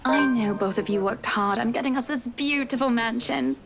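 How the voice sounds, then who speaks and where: An actress playing a wealthy, gracious, self-assured wife in a visual novel game, poised and sweetly imperious. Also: female voice, a little high, normal speed, creaky, a bit bright. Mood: sweet, commanding. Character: wealthy, gracious, self-assured married woman buying a mansion.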